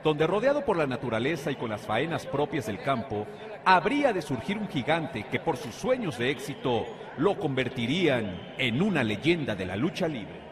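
A man's voice: mid-range voice, very animated, moderate pace, a crowd in the background. A man reading a dramatic, grandiose scripted documentary narration, played over arena speakers. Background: loud music.

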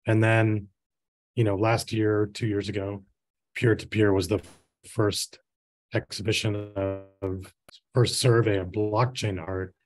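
A man in his forties moderating a discussion, speaking conversationally in halting, measured phrases. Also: lowish voice, level tone, low-quality recording. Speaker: panel moderator. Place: indoor room with bookshelves, on a video call.

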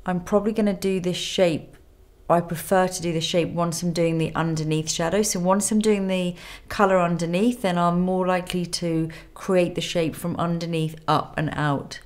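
A woman in her thirties with a cold and a nasal voice, calmly talking through a makeup tutorial step by step in a studio. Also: fairly low pitch, normal speed, few pauses. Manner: calm step-by-step instruction while applying makeup. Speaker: makeup artist. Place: indoor studio with plain grey backdrop.

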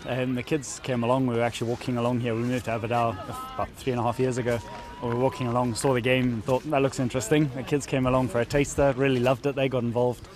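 A man's voice: mid-range voice, level tone, fast, hardly any pauses. A middle-aged man, giving a casual interview as a team coach. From Zimbabwe. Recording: crowd behind outdoor interview.

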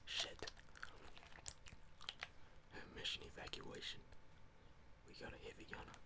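A man's voice: takes it slowly, noisy background. A young man whispering casual, sweary ASMR gaming commentary to his viewers, close to the microphone. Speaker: gaming streamer.

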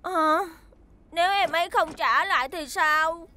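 A woman's voice: very high voice, unhurried, sharp tone, heavily acted. A woman voicing a friendly, earnest young rescue helicopter robot in a children's cartoon, with a southern Vietnamese accent. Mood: friendly, hesitant.

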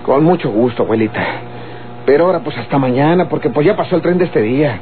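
A man's voice: a little high, animated, fast talker, lightly acted. Man playing a lying young Mexican bandit, tinny old radio. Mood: pleading, scheming. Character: young male bandit and murderer, wheedling, lying, hot-tempered. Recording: muffled old radio recording with mains hum.

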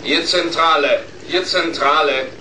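A stern, skeptical dispatcher calls out in clipped official phrases. The voice is sharp and forceful, heard from a distance with strong echo and faint noise, in a children's radio play. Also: male voice, on the high side, a little slow. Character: stern, skeptical male control centre dispatcher.

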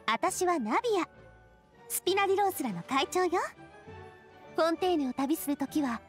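A woman's voice: very high voice, expressive, moderate pace, faint music behind. A woman playing a confident, cheerful young leader in an anime game, breezy and self-assured. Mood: upbeat, confident. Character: Navia, confident cheerful young woman, boss made fairytale king. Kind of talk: Japanese anime game voice acting.

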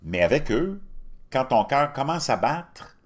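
A man in his fifties with a Quebec French accent, hosting casual political commentary from a home studio, indignant and repeating words as he talks. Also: unhurried. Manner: animated, indignant, repeats words, frequent pitch jumps. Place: home studio with virtual backdrop.

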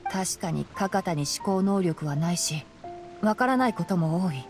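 A woman voicing a calm young male fox-eared forest scholar in a Japanese anime game, explaining in a measured, boyish way. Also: fairly low pitch, close to an everyday voice. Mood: thoughtful. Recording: music behind the voice.

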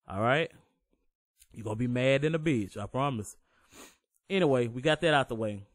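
Casting: man with a deep voice, relaxed slangy tutorial host, swears casually, dry close mic. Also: moderate pace, no echo. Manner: laid-back, profane, reassuring. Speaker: home recording tutorial host.

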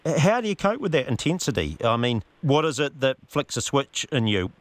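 A man's voice: mid-range voice, big pitch swings, fairly quick, no echo. A man interviewing as a radio sports editor, asking questions with small stumbles and restarts. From New Zealand.

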